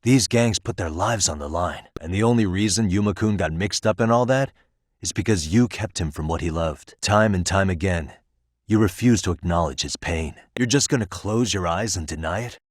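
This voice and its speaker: Takayuki Yagami, deep, self-assured game hero. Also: male voice, normal speed, no echo, studio quality. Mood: stern, pleading. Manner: casual, self-assured, earnest. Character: Takayuki Yagami, cool, principled, easygoing male investigator. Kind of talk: English video game voice acting.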